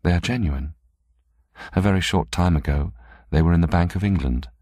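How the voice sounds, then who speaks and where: Man reading as a poised, imperious woman, low and husky. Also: moderate pace, darkish tone, dry recording, clean studio sound. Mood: dismissive. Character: poised, imperious woman collector, calm and calculating.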